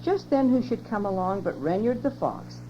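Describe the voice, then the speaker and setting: A woman's voice: medium pitch, animated, moderate pace. Older woman reading a bedtime story. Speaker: grandmother reading to family. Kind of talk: reading a children's story aloud. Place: home. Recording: lo-fi home cassette tape with hum.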